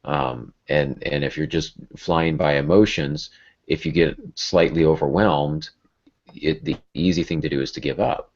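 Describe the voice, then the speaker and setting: A man's voice: a bass voice, measured pace. A man hosting a podcast, talking casually and reflectively in conversation. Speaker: podcast host. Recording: dull, lo-fi podcast audio.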